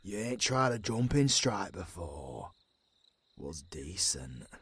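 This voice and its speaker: A man playing a gruff, centuries-old werewolf mentor in an audio roleplay, with a British accent, a raspy voice and a stern, scolding manner. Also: low-pitched, very animated, takes it slowly, very noisy, full character voice. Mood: gruff, grudging. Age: older. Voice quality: raspy, gravelly. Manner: stern, swearing, scolding drill-master.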